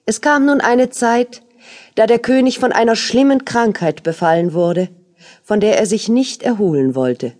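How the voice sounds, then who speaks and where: A woman's voice: a little high, unhurried, a little mellow. A woman reading scripted fairy-tale narration as the storyteller of an audio play.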